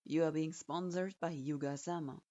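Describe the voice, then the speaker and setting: A harsh adult male ninja instructor barks clipped orders with cold contempt, voiced by a woman reading a story. His delivery is flat and unvarying, and the sound is dry and slightly dull. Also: low-pitched, normal speed, no room sound, pitched below the performer's own voice, lightly acted. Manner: curt, cold, clipped commands.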